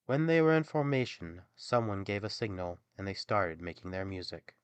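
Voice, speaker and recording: A man narrating a scripted fairy tale as an expressive, theatrical bedtime storyteller. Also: fairly low pitch, moderate pace, smooth, dry recording.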